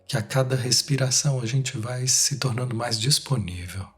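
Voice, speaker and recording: A Brazilian man leading a guided meditation, speaking in short, unhurried phrases with long pauses between them. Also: medium pitch, slightly rough. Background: music.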